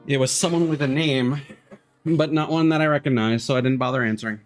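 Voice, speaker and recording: A man's voice: medium pitch, steady tone, brisk, faint music behind. A man giving casual, rambling and candid live-stream commentary as a game streamer, with a dryly critical manner.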